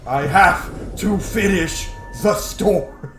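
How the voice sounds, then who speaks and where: A man giving casual, excitable game playthrough commentary, reacting aloud and swearing freely. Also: high voice, takes it slowly, reverberant, faint music behind. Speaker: gaming streamer.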